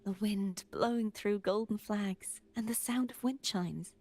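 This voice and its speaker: A woman voicing a calm, mysterious young guide in a video game, soft and breathy, with a measured, solemn delivery. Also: mid-range voice. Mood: gentle, inviting. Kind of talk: voiced game dialogue.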